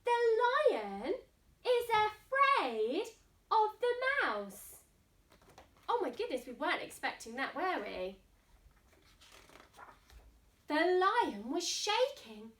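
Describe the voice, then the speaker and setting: High-pitched, animated woman reading to children. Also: takes it slowly, distant mic. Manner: theatrical, with asides and questions to young listeners. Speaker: storybook reader. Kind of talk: expressive picture-book reading for young children. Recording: echoey room.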